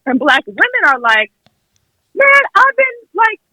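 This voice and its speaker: High-pitched American woman, young, emphatic opinionated caller, dry sound. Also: very animated, a little slow, pushed and bright, no echo, poor audio. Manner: emphatic, acts out imagined quotes. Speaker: caller on a podcast. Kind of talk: opinionated conversational argument.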